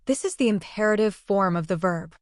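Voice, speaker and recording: A woman teaching a language lesson, explaining phrases in an even, scripted way. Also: medium pitch, brisk, no room sound, studio quality.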